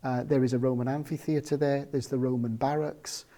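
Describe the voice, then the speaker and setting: Guy, parapsychologist, mellow tone, steady and explanatory, lots of ums. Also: mid-range voice. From South Wales. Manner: measured, explanatory, with frequent ums. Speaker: parapsychologist guest. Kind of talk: casual interview chat.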